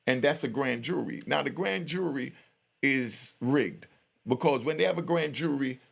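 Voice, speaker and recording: A man's voice: mid-range voice, normal speed, vocal fry. A middle-aged man telling stories and giving commentary in a casual, slangy, streetwise way, often checking in with the listener. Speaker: YouTube storyteller and commentator. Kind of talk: casual spoken prison storytelling and commentary.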